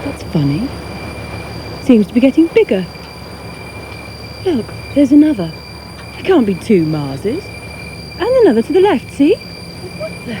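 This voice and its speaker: An actress playing a playful, observant newlywed writer in a radio drama. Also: female voice, fairly high pitch, sing-song, a little slow, pauses often, mellow, a little hiss or hum, low-quality recording. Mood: curious.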